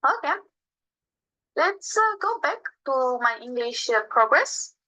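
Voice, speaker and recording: A young woman with a Romanian accent speaks slowly and earnestly, her voice bright and fairly high. She tells her own story in a prepared, read-aloud way and stresses the key words. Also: big pitch swings, poor audio. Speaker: guest giving a testimony.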